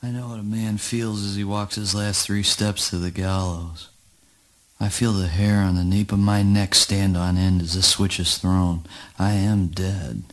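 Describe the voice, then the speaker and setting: An actor playing a weary, morbid, dismissive father with deadpan theatrical delivery in a spoken word play. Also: sits low, measured pace. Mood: bleak.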